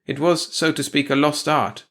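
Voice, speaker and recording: A stern young woman soldier, played by a man in a sci-fi audiobook. She is curt and commanding, full of cool contempt, and pitched up. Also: steady tone, moderate pace, gravelly, fairly bright tone.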